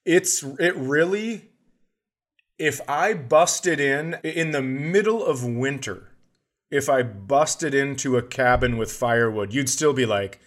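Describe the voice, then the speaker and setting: A man's voice: medium pitch, animated, takes it slowly. A man hosting a podcast with casual, sarcastic comedic banter. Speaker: podcast host.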